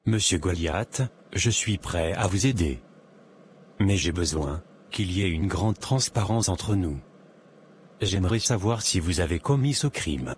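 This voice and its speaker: A man's voice: low voice, lively intonation, a little slow, a little hiss or hum. A man voicing an earnest young cartoon lawyer. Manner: earnest, sincere. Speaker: narrator and protagonist. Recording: music playing behind.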